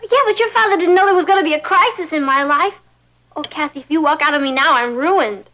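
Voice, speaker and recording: A young woman playing a melodramatic, scheming, excitable teenage girl, theatrical and emphatic, in a vintage TV sitcom. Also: high-pitched, a little fast, lo-fi sound. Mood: desperate pleading.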